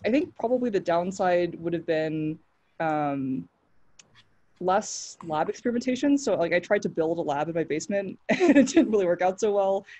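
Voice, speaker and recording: A woman's voice: on the low side, animated, darkish tone. A young woman with an American accent, answering interview questions on a video call, eager and full of fillers and restarts. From New Zealand. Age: young adult. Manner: fast, fillers and restarts, enthusiastic. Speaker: guest. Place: home room, indoors.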